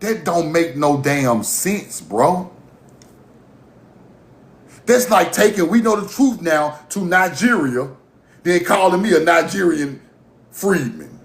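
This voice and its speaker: A man's voice: fairly high pitch, slow, pauses often, echoey room. A man from Mississippi, hosting a livestream and delivering a heated, profane monologue with bursts of laughter. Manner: animated, profane, laughing rants. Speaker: livestream host.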